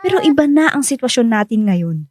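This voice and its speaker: A woman's voice: a little high, sing-song, a little fast, a little mellow, no room sound, studio quality. A woman playing a patient young wife and devoted new mother in a Filipino radio drama. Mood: insistent, reassuring.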